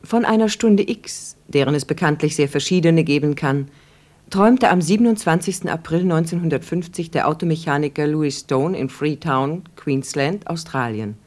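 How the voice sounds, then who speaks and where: An actress playing a detached narrator in a radio play, formal and matter-of-fact. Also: female voice, low voice, normal speed, darkish tone. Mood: detached, factual. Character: detached, dryly factual female narrator.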